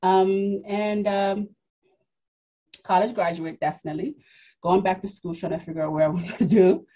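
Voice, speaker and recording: A woman in her mid-forties speaks as a podcast guest, telling her own story in a husky, mellow low voice. She is reflective and pauses often with fillers and restarts. The recording sounds dull and lo-fi. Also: fairly even intonation. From Democratic Republic of the Congo, raised in New York. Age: 40s. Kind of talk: personal story in an interview.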